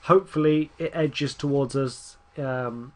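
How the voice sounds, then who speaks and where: A man's voice: mid-range voice, fairly even intonation, a little slow, darkish tone. A young man with a stuffy nose from a cold, rambling through casual commentary over a football management game and urging his team on. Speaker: gaming YouTuber.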